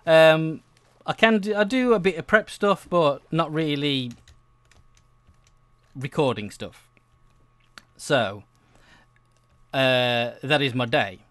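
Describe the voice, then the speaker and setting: A man's voice: a little high, slow, pauses often, fairly bright tone, no room sound. A young adult man giving casual, meandering gameplay commentary, often hesitating and interrupting himself. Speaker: gaming video host.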